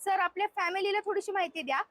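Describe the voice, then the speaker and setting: उंच आवाजाची मराठी महिला व्लॉगर. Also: female voice, extremely high pitch, fast, fairly bright tone, no room sound. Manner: chatty, explanatory, addressing viewers. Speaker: shopping vlog host. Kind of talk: casual shop tour presentation. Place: inside a shop.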